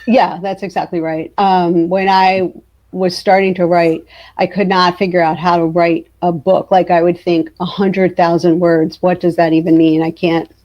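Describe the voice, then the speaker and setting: A woman's voice: lowish voice, flat delivery, moderate pace, a little mellow, highs cut off. A woman telling anecdotes casually as an interview guest, with a wry, self-deprecating manner.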